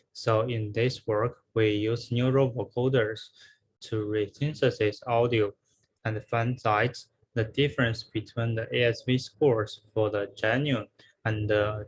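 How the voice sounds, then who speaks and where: A man's voice: medium pitch, rough recording. Man giving a scripted academic presentation. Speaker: researcher presenting a conference paper.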